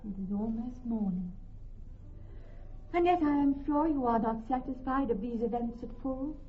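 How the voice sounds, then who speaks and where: A woman's voice: fairly high pitch, normal speed, a little hiss or hum, close to an everyday voice. A woman playing a witty, commanding young noblewoman in classical Shakespeare radio drama, poised, articulate and theatrical. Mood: poised assurance. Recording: dull, lo-fi old radio recording.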